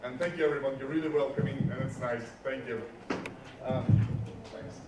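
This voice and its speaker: A man's voice: medium pitch, steady tone, normal speed, far from the mic. A man giving a conference talk on stage, earnest, often restarting his phrases. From Georgia. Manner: earnest, frequent restarts, rises with emphasis. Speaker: conference speaker. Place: conference hall. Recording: roomy hall, speaker away from mic, faint noise.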